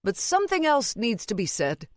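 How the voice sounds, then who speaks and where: A woman voicing a stern mother-in-law in an audiobook, coolly angry, calm and measured. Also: a little high, no room sound, clean studio sound, pitched above the performer's own voice. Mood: cold reproach. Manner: cool, calm, measured, menacing.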